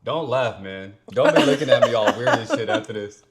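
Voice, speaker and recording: Deep-voiced man with a Vietnamese-tinged accent. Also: expressive, a little fast, crowd noise. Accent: Vietnamese-tinged English. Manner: laid-back, rambling, lots of fillers. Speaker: guest content creator. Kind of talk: casual podcast chat.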